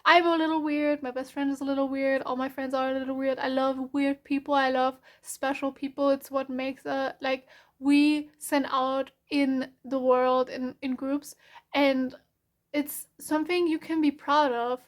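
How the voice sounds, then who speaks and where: This is a young woman with a German accent talking through a tarot reading in English. Her voice sits high and stays fairly even in pitch. She rambles softly, full of fillers and restarts, as she talks to her viewers. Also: unhurried. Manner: soft, rambling, frequent self-corrections and fillers. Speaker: tarot reader. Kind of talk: casual spoken tarot reading.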